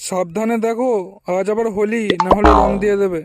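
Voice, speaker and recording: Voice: mid-range voice, steady tone, a little fast, over loud music, lightly acted. A performer voicing an irritable, foul-mouthed householder in a comedy cartoon. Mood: wary. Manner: slangy, exclamatory, blunt. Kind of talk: Bengali comedy cartoon dialogue. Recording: very noisy track with clicks.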